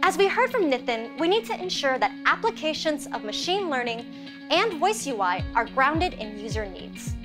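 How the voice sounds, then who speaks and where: A woman's voice: high-pitched, big pitch swings, moderate pace. A woman in her thirties presenting as host, in a scripted, to-camera style. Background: background music.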